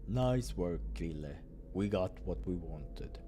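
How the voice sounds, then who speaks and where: Man voicing a low, slow, gentle big doctor. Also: lively intonation, background noise. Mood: gentle, approving. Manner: slow, measured, reassuring. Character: big gentle male doctor, broad-bodied, calm, quietly melancholic.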